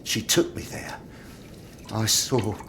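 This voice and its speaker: A man's voice: slow, a bit bright. An actor playing a well-meaning, shaken small-town policeman in an audio drama, hesitant and earnest. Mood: shaken, placating. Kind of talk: full-cast audio drama acting. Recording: faint background noise and ambience.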